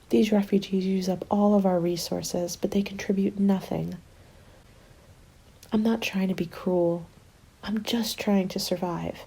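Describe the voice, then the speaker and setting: A woman playing a weary survivor of a zombie winter in a soft, breathy, confiding ASMR roleplay. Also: mid-range voice. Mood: frustrated, defensive.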